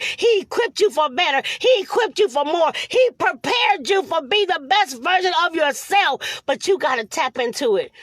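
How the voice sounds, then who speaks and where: A woman with a very high-pitched voice delivers impassioned motivational preaching. She exhorts the listener emphatically, building on repeated phrases and rhetorical questions. The sound is dry and close, with little room around it. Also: a bit bright, no echo. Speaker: motivational preacher hosting a live stream.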